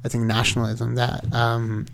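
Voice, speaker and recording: A young man from Sri Lanka tells a story in easy conversation. He talks in a lively, rambling way, full of fillers and restarts, and his voice sits at an ordinary middle pitch. The sound is dry and close, with almost no room echo. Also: moderate pace, creaky. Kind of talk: casual storytelling.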